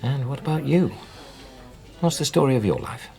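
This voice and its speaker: A man's voice: medium pitch, very animated, normal speed, vocal fry, warm tone, faint music behind. An actor playing a determined, politely guarded middle-aged man in a naturalistic radio drama, speaking in an understated, hesitant way. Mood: hesitant, friendly.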